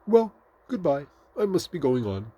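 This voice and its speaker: A man's voice: a little high, sing-song, unhurried, dark tone, breathy edge, pitched above the performer's own voice, exaggerated voice. A man voicing a bumbling, good-natured, greedy toy bear from a children's storybook, hesitant and given to exclaiming. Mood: polite, eager. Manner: hesitant, repeating himself, exclaiming.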